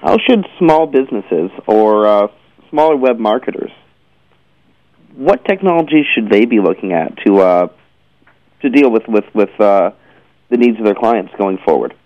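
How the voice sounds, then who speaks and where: A man hosting an interview, asking questions conversationally in a halting way, often repeating words. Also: on the low side, animated, dry recording. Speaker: interviewer, show host. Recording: dull, slightly lo-fi, dry sound.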